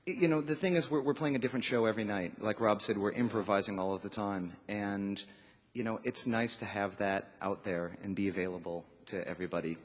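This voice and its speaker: A man with a fairly low voice talks casually as a band musician in an interview. His delivery is informal and loose. The theatre around him gives the sound a long reverb. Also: a little fast, slight background noise. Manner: informal, loose, peppered with "you know". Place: theatre stage at a live radio taping. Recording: echoey theatre hall.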